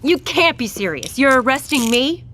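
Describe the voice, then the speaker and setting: A woman's voice: sits high, big pitch swings, moderate pace, sharp tone, slight background noise, exaggerated voice. A woman playing a defiant, self-justifying doctor accused of treason in an audio drama, argumentative and articulate. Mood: indignant.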